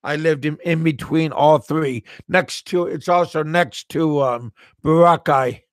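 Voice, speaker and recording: Male livestream host from Alaska, unhurried and halting, lots of restarts, dry close sound. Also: on the high side, dry recording. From Alaska, USA. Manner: halting, repeats words and restarts often. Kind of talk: casual live-chat talk reading viewer comments.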